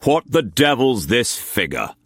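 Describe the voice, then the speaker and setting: A man voicing a stern, forceful merchant leader who speaks bluntly. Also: medium pitch, sing-song, measured pace, no room sound, studio quality, pitched above the performer's own voice, heavily acted. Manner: blunt, commanding.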